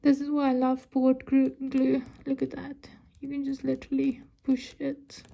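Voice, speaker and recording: A woman's voice: sits high, completely flat delivery, moderate pace, gravelly, mellow. A woman from South Africa with a South African accent, a native Afrikaans speaker, chatting casually while she works on a craft and often dropping to a whisper as she counts. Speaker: crafting vlogger.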